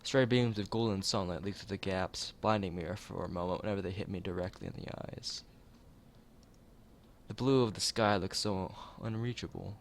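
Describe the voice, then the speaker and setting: Low, gravelly male read-aloud narrator. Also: normal speed, slight background noise. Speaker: let's player reading a visual novel aloud. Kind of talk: expressive read-aloud narration of a story game.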